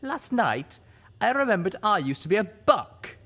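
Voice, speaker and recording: A man's voice: very animated, a little slow, fairly bright tone, husky, full character voice. A man voicing a group of dazed, mind-controlled alicorn mares who whine and bicker with each other. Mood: whiny, bickering. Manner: high, whiny and bickering.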